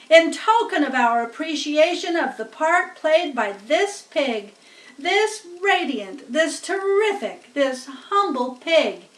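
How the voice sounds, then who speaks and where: A woman plays a pompous storybook fair announcer, proclaiming loudly and slowly at a raised pitch. The delivery is grand and declamatory, and the sound is roomy and echoing. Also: far from the mic, full character voice. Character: booming, pompous male fairground loudspeaker announcer.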